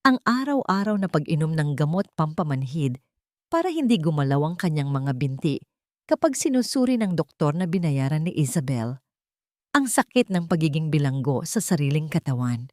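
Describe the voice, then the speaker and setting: A woman storyteller reading a dramatic love story as scripted narration, in a solemn, measured manner. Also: deep voice, very animated, a little mellow, dry recording, clean studio sound.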